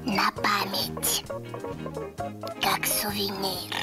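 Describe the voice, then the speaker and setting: A woman voicing a slow, grumbling female turtle in a Soviet puppet cartoon, drawling and matter-of-fact. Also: medium pitch, flat delivery, over loud music. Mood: grumbling, deadpan.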